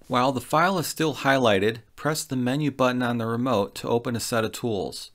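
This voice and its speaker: A man's voice: mid-range voice, a little fast, fairly bright tone. A man presenting a product review as scripted, step-by-step narration. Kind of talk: scripted narration of a gear demonstration.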